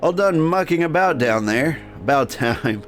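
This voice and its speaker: A man's voice: on the high side, lively intonation, unhurried, faint music behind, voice pushed up. A man voicing a cold, curt masked gunman from a story game, brusque and commanding. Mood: brusque, impatient. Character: cold, curt masked male stranger with a gun.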